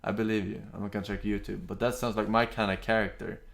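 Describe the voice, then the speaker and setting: A man's voice: fairly low pitch, quick pace, creaky. A young man, streaming and chatting casually, rambling and reading out chat messages. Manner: relaxed, rambling, with fillers and some swearing.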